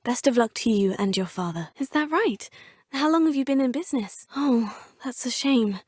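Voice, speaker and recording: A young woman voicing a polite, composed female student witch in a video game, speaking in a measured, formal way. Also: fairly high pitch, animated, a little mellow, slightly rough, dry recording, clean studio sound. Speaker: player character.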